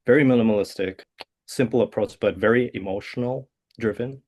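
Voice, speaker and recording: A man, a composer answering interview questions in a soft, hesitant way with many fillers. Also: on the low side, a little fast. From South Korea. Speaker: guest composer.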